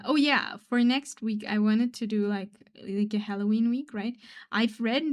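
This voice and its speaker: A woman of middle pitch chats casually on a live stream while she draws. She rambles and pokes fun at herself, and she often drops into soft, quiet mumbling. She speaks English, though German is her first language. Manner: rambling, self-deprecating, trails off into quiet mumbles.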